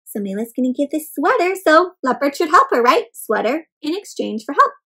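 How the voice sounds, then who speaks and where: A woman storyteller reading a picture book aloud to young children, animated and expressive, putting questions to them and explaining words. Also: high-pitched, fairly quick. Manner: dramatic gasps and exclamations.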